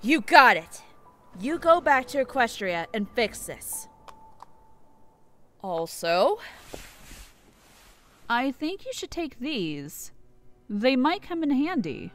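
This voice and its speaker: A young queen, emotional and pleading, who clings to hope, played by a woman. Her voice sits high and swings widely with feeling. Faint music runs behind her. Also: very animated, takes it slowly, long pauses, fairly bright tone, heavily acted. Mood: resolute. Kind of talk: fan audio drama acting.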